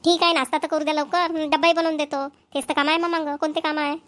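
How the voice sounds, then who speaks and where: A woman's voice: high-pitched, flat delivery, fast, a bit bright. A woman playing a weary, solitary young daughter-in-law in a family cartoon, grumbling plainly with a Vidarbha Marathi accent. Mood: defensive, irritated. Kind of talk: amateur family cartoon voice acting.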